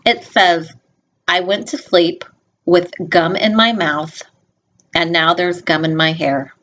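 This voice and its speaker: A woman with a fairly low voice reads a children's picture book aloud. She is expressive and informal, speaking straight to her young listeners with chatty, gently instructive asides. The recording is slightly dull and lo-fi, like a home video. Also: takes it slowly, slight background noise.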